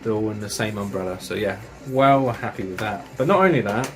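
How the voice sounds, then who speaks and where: A man's voice: on the low side, animated, brisk, over music. Chatty man raving about metal. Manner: enthusiastic, chatty, with British slang and swearing. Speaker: metal music reviewer. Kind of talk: casual review of records and tapes.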